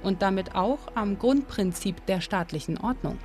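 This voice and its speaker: A woman reading calm, measured scripted narration for a history documentary. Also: mid-range voice. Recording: studio voice-over with music behind.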